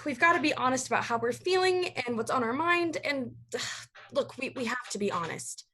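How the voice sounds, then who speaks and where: A woman playing a guarded, conflicted young college student in a staged reading, halting and sighing as the character deflects. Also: high-pitched, lively intonation, bright, forceful tone, a little hiss or hum. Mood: hurt, uncomfortable. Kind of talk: live staged reading of a play over video call. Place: online video call staged reading.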